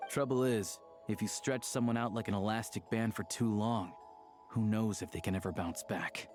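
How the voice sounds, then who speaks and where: Man playing a low-voiced, wry game townsman. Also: steady tone, normal speed, darkish tone, soft background music, close to an everyday voice. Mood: dry, resigned. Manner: laconic, easygoing, dry. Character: wry, world-weary local townsman. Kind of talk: video game character dialogue.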